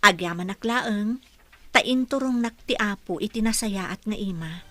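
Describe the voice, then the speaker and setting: A woman acting a gentle, devout mother and wife in a radio drama. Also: mid-range voice, unhurried, no room sound, soft background music. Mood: kindly, earnest.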